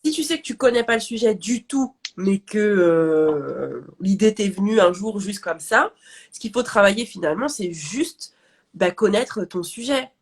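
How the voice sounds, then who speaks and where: A woman's voice: medium pitch, lively intonation, measured pace, slightly rough. A woman business coach with a nasal voice, talking casually and animatedly about confidence and speaking up. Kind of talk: casual chat on a live stream.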